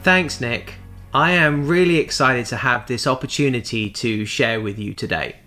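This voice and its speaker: A man from Guernsey speaks with a Guernsey English accent. He is a guest pastor opening his sermon with calm storytelling and dry touches of humor. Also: medium pitch, normal speed, faint music behind.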